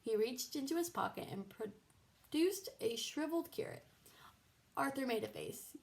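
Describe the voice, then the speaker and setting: Woman, fairly high voice, creaky, children's read-aloud narration. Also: expressive, measured pace. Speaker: storybook reader.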